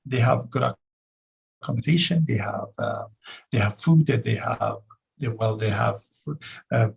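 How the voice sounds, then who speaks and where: A man giving panel remarks on a video call, halting and often repeating himself. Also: mid-range voice, vocal fry, breathy edge, poor audio. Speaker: panel speaker.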